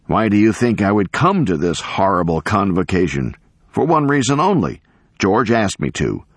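An indignant old professor, acted out by a male narrator, declaims with pompous emphasis. His pitch leaps up and down as he rants like a storybook crank. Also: dry recording. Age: older. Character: ranting, unkempt, indignant elderly male professor.

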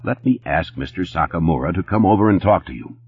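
A man's voice: low voice, animated, a little fast, breathy edge, no echo, muffled recording. Man playing a theatrical suave Hollywood host, lilting. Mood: smoothly apologetic. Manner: theatrical, polite.

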